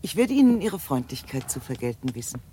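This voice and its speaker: A woman's voice: extremely low pitch, very animated, fairly quick, dark tone, slight background noise. A woman playing an imperious, agitated noblewoman in a German radio drama, speaking theatrically and formally with urgency. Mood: grave, gracious.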